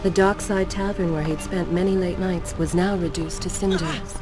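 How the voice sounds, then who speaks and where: An actress playing a solemn, wistful female storyteller who narrates a fantasy game in formal, measured phrases. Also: fairly low pitch, steady tone, natural voice. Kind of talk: scripted dramatic story narration. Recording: loud music behind the voice. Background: game soundtrack music.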